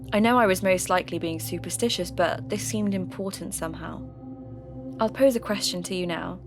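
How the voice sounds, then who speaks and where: A woman playing a sceptical, intrigued local radio host who investigates ghost stories in measured, scripted podcast narration. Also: mid-range voice, natural voice. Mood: intrigued, uneasy. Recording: music bed under voice.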